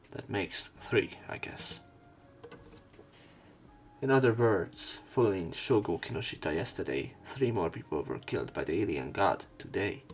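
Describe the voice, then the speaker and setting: Gravelly, lowish-voiced man reading aloud. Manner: casual, halting read-aloud with asides. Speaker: let's player. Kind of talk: reading visual novel narration and dialogue aloud.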